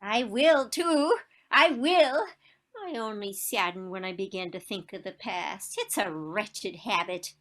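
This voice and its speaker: A woman acting a wistful, romantic elderly woman in a stage play, with dramatic, deliberate delivery. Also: medium pitch. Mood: fervent, hopeful. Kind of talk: one-act stage play performed on a video call.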